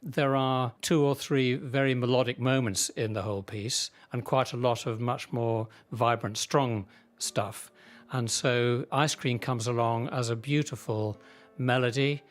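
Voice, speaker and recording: A man, an amateur musician, speaking in a measured, articulate way in an interview. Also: mid-range voice, steady tone, soft background music. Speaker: amateur mandolin player. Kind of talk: informal interview.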